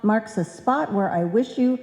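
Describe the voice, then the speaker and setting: A woman's voice: fairly high pitch, measured pace, darkish tone, close to an everyday voice. A woman playing a scatterbrained, trusting wife in an old-time radio comedy, earnest and muddled. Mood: cheerful. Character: scatterbrained, trusting, chatty wife and card seller. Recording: echoey live hall.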